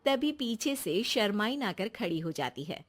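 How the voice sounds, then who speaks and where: A woman's voice: medium pitch, big pitch swings, measured pace. A woman giving scripted narration for a cartoon story.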